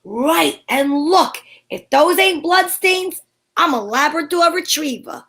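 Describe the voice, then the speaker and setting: A woman's voice: high-pitched, animated, takes it slowly, fairly bright tone, heavily acted. A woman playing a plucky, curious female private detective in an amateur old-time radio drama, wry and wisecracking. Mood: eager, teasing.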